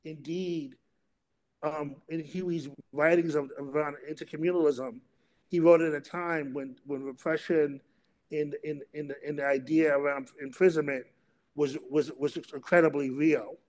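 A middle-aged man moderating a panel and introducing its topic and speakers, in a halting delivery that often repeats words. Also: on the high side, fairly even intonation, a little mellow. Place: remote video call.

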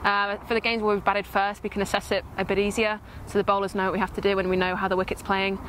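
A woman's voice: mid-range voice, flat delivery, fast, a bit bright. A woman in her twenties from England, a player answering post-match interview questions outdoors on a lapel mic. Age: 20s. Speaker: cricket player. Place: outdoor cricket field. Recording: faint outdoor ground noise.

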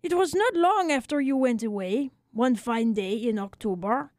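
A woman voicing a small old Frenchman, a weary, talkative barber of wry philosophy, exclaiming and lamenting in drawn-out phrases for a storybook reading. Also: fairly high pitch, expressive, darkish tone, no room sound, studio quality, lightly acted. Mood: chatty, wistful.

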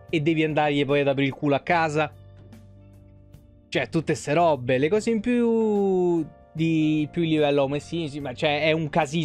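Higher-pitched young man streaming. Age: young adult. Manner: informal, rambling, freely sweary. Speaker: video game streamer. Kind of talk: casual livestream chat. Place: small room indoors at a streaming desk. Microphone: desk microphone close up, with headset.

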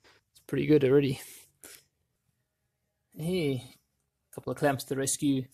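Man, mid-pitched, raspy with vocal fry, offhand DIY presenter, casual hands-on narration. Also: little pitch movement, a little slow, mellow. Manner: offhand, thinking aloud.

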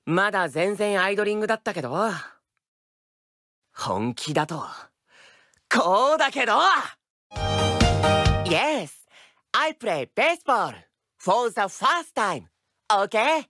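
A man's voice: sits high, sing-song, takes it slowly, long pauses, music in the background, heavily acted. A man voicing an easygoing, breezily confident young boy baseball player in an anime-style game. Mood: breezy, confident.

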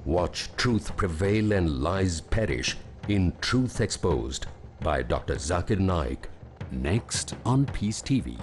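Deep-voiced tv announcer guy, expressive formal read, music under it. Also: slow pace, slight background noise. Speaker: channel announcer. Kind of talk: broadcast schedule announcement.

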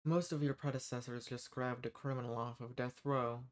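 A man performs an ancient male archdemon in a dark roleplay audio. He is smug and dominant, speaking in a medium-pitched voice. His condescending, amused delivery lingers on the words he wants to stress. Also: steady tone, close to an everyday voice. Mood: businesslike, dark. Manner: condescending, amused, drawn-out emphasis. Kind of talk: scripted roleplay audio monologue.

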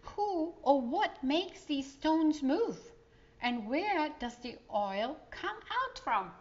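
Young storybook boy, voiced by a woman: inquisitive, exclaiming, higher pitch, unhurried. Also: big pitch swings, lo-fi sound, full character voice. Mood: curious. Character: curious, easily strained young boy. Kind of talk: children's storybook character reading.

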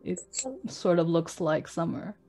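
A woman from southeast China speaks in a fairly low voice for a woman. She explains things in a relaxed, conversational way over a video call, repeating words and filling pauses with "um" and "like.". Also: faint music behind. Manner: relaxed, explains with fillers and repetition. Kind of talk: casual explanatory chat.

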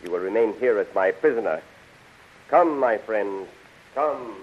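An actor playing a bold rebel prince in a 1930s radio serial, formal and authoritative. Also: male voice, fairly low pitch, lively intonation, unhurried. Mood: resolute. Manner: declamatory, formal. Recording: muffled, hissy 1930s radio recording.

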